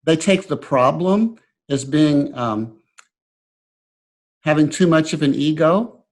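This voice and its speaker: An older man hosting an interview, asking probing questions with halting restarts. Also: on the high side, animated, a little mellow. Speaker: interviewer host.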